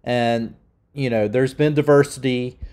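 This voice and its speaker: A man's voice: mid-range voice, steady tone, a little slow, a little mellow, clear, resonant tone. A middle-aged man hosting his own channel, ranting with heat and emphasis, sounding nasal from being sick. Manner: heated, emphatic. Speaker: channel host. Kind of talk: opinion rant.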